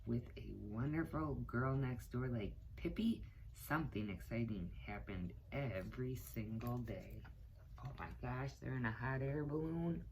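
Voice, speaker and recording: Middle-aged female story time reader, unhurried delivery, slight room noise. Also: rumbling low, darkish tone, rough recording. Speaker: children's story time reader, teaching assistant. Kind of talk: read-aloud of a children's picture book with asides to listeners. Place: small cluttered room indoors.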